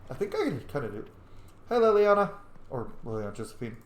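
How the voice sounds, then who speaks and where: A man streams a game with casual, chatty commentary. His voice has a middling pitch and he talks in a slangy, joking way, with noticeable room echo. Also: huge pitch swings, fairly quick. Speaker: video game streamer and role-player. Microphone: microphone. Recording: echoey room.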